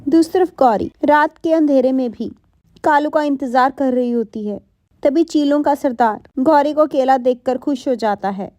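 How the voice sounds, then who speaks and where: A woman reads a scripted story for children in a high voice. The tone is mellow, and the recording is dry and close. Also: normal speed, no echo. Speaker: narrator.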